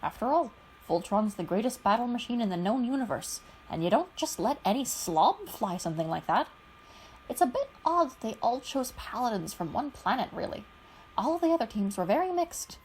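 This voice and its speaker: A woman's voice: a little high, moderate pace, a little hiss or hum, pitched above the performer's own voice, poor audio. A woman voicing a chatty, fussy older male alien adviser who rambles on in a storybook reading. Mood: rambling. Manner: rambling and animated.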